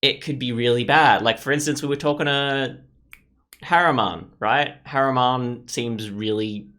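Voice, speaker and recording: A man's voice: mid-range voice, unhurried, a bit bright. A man playing through a story game, casually commenting and reading its text aloud, often thinking aloud. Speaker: gaming YouTuber.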